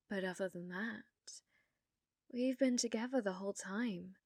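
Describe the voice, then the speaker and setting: A British woman playing an obsessive, manipulative, adoring girlfriend in an intimate ASMR roleplay, soft and breathy, often dropping into whispers. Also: mid-range voice, no room sound, clean studio sound, natural voice. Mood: tender, manipulative. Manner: slow, coaxing, intimate.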